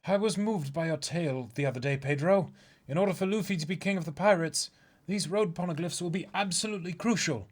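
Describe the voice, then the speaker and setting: Skeleton pirate played by a man, high and slightly husky, earnest and eager, manga dramatic reading. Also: fairly quick, natural voice. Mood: earnest, urgent. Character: eager, high-voiced adult skeleton musician pirate planning a daring mission.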